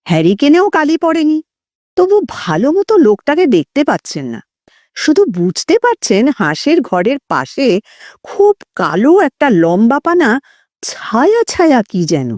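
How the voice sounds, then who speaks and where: Female storyteller, high and lively, unhurried delivery, dry studio-like sound. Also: no echo. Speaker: narrator of audio stories. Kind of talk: expressive story reading.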